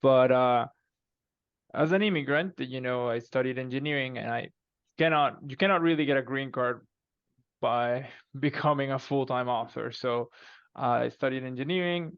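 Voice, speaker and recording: Man, Venezuelan Spanish accent, hesitant casual answers, smooth voice, muffled lo-fi line. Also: medium pitch, moderate pace, no echo. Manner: hesitant, frequent fillers and restarts. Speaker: guest author.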